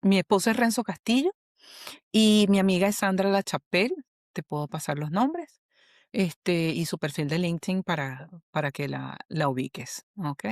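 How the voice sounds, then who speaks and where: A Venezuelan woman, a human resources specialist, talking as a guest in an interview, animated and often checking in with "¿ok?". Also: fairly low pitch, moderate pace, no room sound, clean studio sound. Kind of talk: conversational interview.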